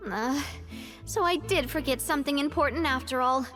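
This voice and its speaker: A woman's voice: high voice, normal speed, a bit bright. A woman voicing a cheerful, genteel young female boss in an anime game. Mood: earnest. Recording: game music behind.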